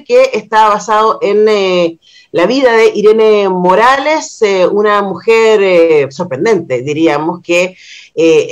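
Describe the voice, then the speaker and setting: A woman with a Chilean accent speaks as a radio interviewer at an average pitch for a woman. She is conversational and reflective, unfolding her thoughts at length and rounding them off with short questions that seek agreement. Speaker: radio host.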